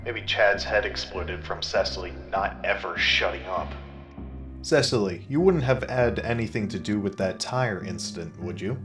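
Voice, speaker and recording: A man playing a self-serious, dim-witted private detective, narrating his case with deadpan drama in a comedy noir parody. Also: on the low side, normal speed, roomy sound, soft background music, natural voice. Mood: dramatic, grim.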